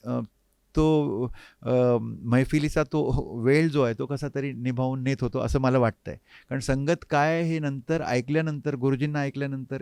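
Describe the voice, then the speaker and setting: A man, reminiscing reflectively as an interview guest and often pausing mid-sentence to find his words. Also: medium pitch, gravelly, a little mellow, smooth, dry recording, studio quality. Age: 50s. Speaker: interview guest, harmonium player. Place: recording studio.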